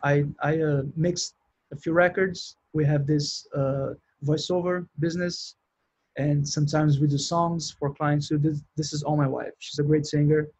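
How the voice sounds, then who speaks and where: A man with a Brazilian accent and a slightly higher, breathy voice tells his story in an easy, unpolished way. He restarts often and fills gaps with small words. The sound is muffled and lo-fi. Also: little pitch movement, moderate pace, darkish tone. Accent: Brazilian Portuguese. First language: Portuguese. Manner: rambling, many restarts and fillers. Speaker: sound engineer guest. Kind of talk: casual interview chat.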